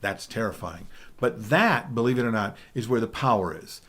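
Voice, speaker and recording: A middle-aged man with a baritone voice giving a DJ training talk, emphatic, often checking in with "okay?". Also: expressive, normal speed, fairly bright tone. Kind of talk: spoken presentation.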